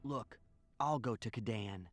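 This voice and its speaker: Earnest young hero played by a man, mid-pitched. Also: a little slow, over loud music, natural voice. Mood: subdued resolve. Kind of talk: scripted video game cutscene acting.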